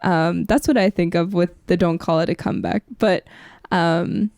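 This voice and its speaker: A woman's voice: fairly low pitch, steady tone, normal speed, creaky, a little mellow, no echo, studio quality. A young adult woman, a scholar guesting on a podcast, talking casually and reflectively. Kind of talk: casual discussion.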